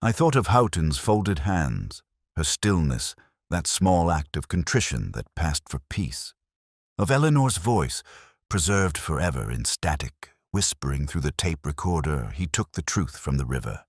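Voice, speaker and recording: A man playing a weary, melancholy older police detective, narrating his mystery case in measured, brooding, literary first person. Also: extremely low pitch, very animated, breathy edge, no room sound, clean studio sound, full character voice. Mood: wistful, resigned.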